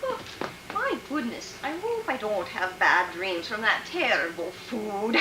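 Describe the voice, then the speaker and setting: A woman's voice: medium pitch, sing-song, measured pace. A woman playing a prim, genteel radio-comedy wife, politely sweet but critical, recorded live on stage. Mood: disapproving. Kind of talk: old-time radio comedy acting on stage. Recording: roomy, noisy stage recording.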